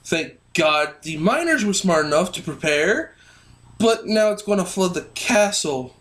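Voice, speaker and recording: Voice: medium pitch, expressive, measured pace, a bit bright. A co-host of a puppet review giving bantering comedic commentary.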